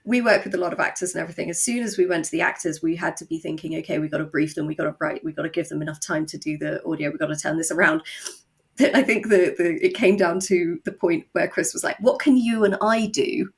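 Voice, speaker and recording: A woman guest, a writer and voice actor, chatting casually in an interview in an animated, self-deprecating way. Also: fairly low pitch, fast.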